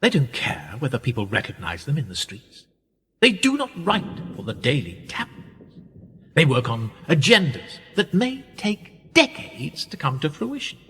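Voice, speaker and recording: Male actor as pompous, manipulative British establishment man for radio drama; measured condescension, very lively pitch, reverberant sound. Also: breathy edge, exaggerated voice. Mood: lecturing. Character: smug, wealthy upper-class male power broker, condescending and manipulative. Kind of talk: radio play acting. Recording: reverberant hall-like echo.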